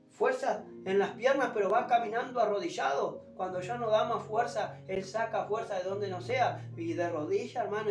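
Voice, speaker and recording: Fervent preacher, strained throat, emphatic repeated exhortations, far from the mic, music behind. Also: mid-range voice. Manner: emphatic, exhorting, repeats phrases and addresses listeners as brother. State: strained sore throat, speaking forcefully. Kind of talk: fervent evangelical sermon. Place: echoing room, preaching unamplified. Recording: roomy, distant pickup without a microphone, music behind.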